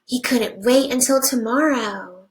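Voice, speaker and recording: A woman teacher reading a picture book aloud to young children, with simple deliberate phrasing and hushed asides. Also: fairly high pitch. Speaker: first grade teacher.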